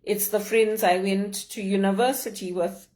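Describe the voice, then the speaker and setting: A woman's voice: medium pitch, fairly even intonation, darkish tone. Earnest woman. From Zimbabwe. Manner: earnest, measured, heartfelt. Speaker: leadership vlogger. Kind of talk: personal reflective monologue.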